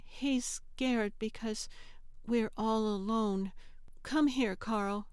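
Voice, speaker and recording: A woman's voice: fairly high pitch, very slow, darkish tone, smooth, no echo, pitched above the performer's own voice. A woman voicing an earnest, guarded eight-year-old girl in an audiobook, speaking a little hesitantly. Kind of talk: audiobook character acting.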